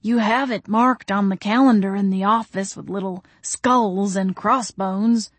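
An elderly gentleman ghost from an audiobook novel, acted out by a woman. He is courtly and amiable, with slow, genteel phrasing and a dry delivery. The sound is close, with no room on it. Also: mid-range voice, fairly even intonation, slightly rough, dry recording. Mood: gently teasing.

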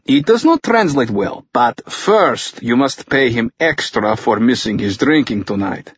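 A man voicing a helpful, matter-of-fact priest who translates in measured, in an audiobook. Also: mid-range voice, expressive, breathy edge, dry recording, muffled recording.

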